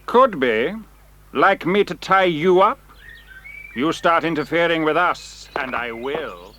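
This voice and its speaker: An actor playing a surly circus escape artist in an audio drama, with curt threats. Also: male voice, a little high, animated, slow, sharp tone, slight background noise, exaggerated voice. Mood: surly, threatening.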